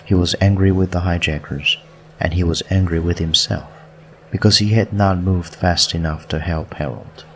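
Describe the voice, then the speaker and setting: A man with a very low voice reads story narration for an audiobook. The delivery is scripted, and there is faint noise on the recording. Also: normal speed, fairly bright tone.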